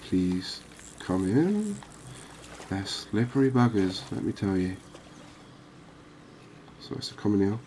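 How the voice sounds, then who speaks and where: Low, slow-talking man, calm gaming commentary. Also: a little hiss or hum, poor audio. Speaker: gaming video host. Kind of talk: ASMR gameplay commentary on a fishing game.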